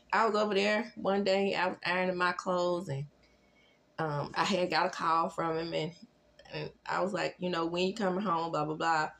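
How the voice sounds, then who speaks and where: A woman giving casual, chatty recap commentary, gossipy, slangy and animated, acting out what people said as she tells stories. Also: lowish voice. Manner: animated, sassy, gossipy, slangy, acts out quotes. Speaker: host of a reality TV recap review.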